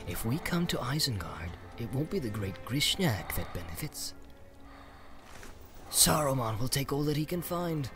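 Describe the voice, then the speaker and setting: A man voicing a small, plucky hobbit, speaking in a hushed, shrewd way in a fantasy audiobook. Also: mid-range voice, big pitch swings, measured pace, music in the background.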